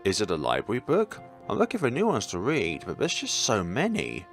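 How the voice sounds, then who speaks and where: Man acting an awkward new schoolboy, well-meaning, fumbling, polite, animated intonation, visual novel read-aloud. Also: lowish voice, a little fast, faint music behind. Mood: curious. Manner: hesitant, polite, fumbling small talk.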